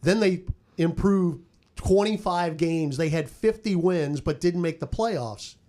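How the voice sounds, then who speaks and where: A man's voice: on the high side, slow pace, warm tone. A man on a sports podcast panel talking casually and conversationally, repeating phrases as he thinks.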